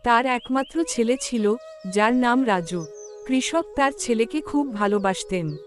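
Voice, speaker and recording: A woman's voice: fairly high pitch, a little slow, soft background music. A woman storyteller reading a scripted moral tale for a cartoon.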